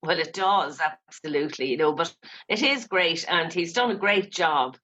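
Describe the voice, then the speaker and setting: A woman's voice: low voice, expressive, moderate pace, sharp tone, highs cut off, slight background noise. An Irish-accented woman chatting casually as an interview guest, in a chatty, rambling manner. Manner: chatty, peppered with "you know". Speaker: guest, playwright.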